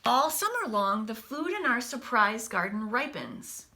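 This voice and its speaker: Bright, very animated woman reading to children. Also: fairly high pitch, measured pace. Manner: animated storybook delivery, dropping to hushed asides. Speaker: teacher reading a picture book to children. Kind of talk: expressive read-aloud with casual explanatory asides.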